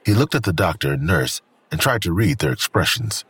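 A male narrator with a deep, extremely husky voice reads scripted drama prose. His intonation moves up and down freely, and the recording is dry and close. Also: animated, a little fast, fairly bright tone, no room sound.

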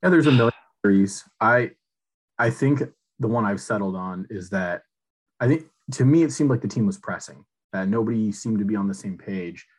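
Low, husky-voiced man analyzing. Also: animated, normal speed. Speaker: podcast co-host. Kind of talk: casual chat.

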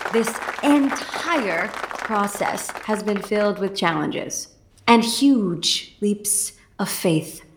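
A woman playing an effusive, theatrical Broadway director giving a gushing, grandiose speech with dramatic pauses. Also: medium pitch, lightly acted. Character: effusive, theatrical female Broadway director addressing an opening-night crowd. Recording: echoey stage microphone with noisy crowd.

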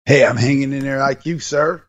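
A hoarse man with a raspy voice, co-hosting a casual podcast chat as a high school football coach, talking in a relaxed, rambling way with many fillers. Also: normal speed, highs cut off. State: hoarse from yelling on the sideline.

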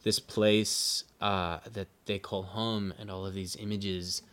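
A young man with a fairly low voice tells a story in a relaxed, rambling way, dropping in plenty of "uh" and "like". He speaks as a songwriter guest on the show. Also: slow, smooth. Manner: loose and chatty, many "uh" and "like". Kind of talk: casual storytelling.

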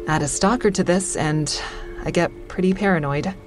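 A woman's voice: lowish voice, normal speed, faint music behind, close to an everyday voice. An actress playing a wry, wary stand-up comic, narrating her life candidly in an audio drama. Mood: uneasy, tense. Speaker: lead character and narrator.

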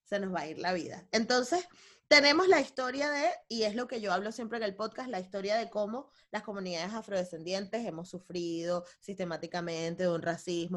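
A woman hosting a podcast speaks, quick and emphatic in casual talk. Her voice sits at a middle pitch, and she chats in a relaxed, slangy way. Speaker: podcast host. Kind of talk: casual conversational interview.

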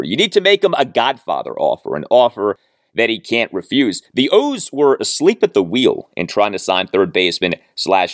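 A male sports commentator speaks alone as a podcast host. His voice is low and swings widely in pitch, and he leans hard on key phrases. The sound is dry. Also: dry recording.

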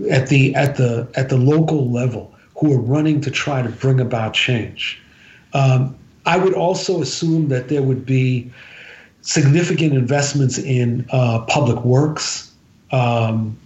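A man giving political analysis as a podcast guest, speaking in a halting, deliberate, measured way. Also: darkish tone. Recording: roomy, dull low-fidelity sound.

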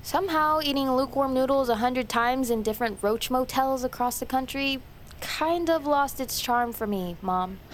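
Teen daughter played by a woman, audio fiction: high young voice, fed up, sardonic, combative, faint background noise. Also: moderate pace, lightly acted. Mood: sullen, sarcastic. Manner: sarcastic, confrontational. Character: fed-up, defiant teenage daughter.

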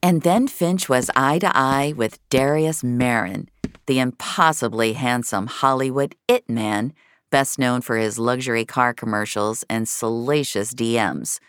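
A woman's voice: a bass voice, measured pace, clean tone, no echo. A woman narrating a scripted fiction story as its storyteller.

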